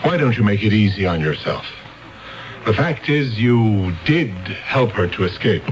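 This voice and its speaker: An actor playing a blunt, pushy police lieutenant in a 1970s radio drama. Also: male voice, lowish voice, expressive, unhurried. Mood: pressing. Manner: blunt and pressing.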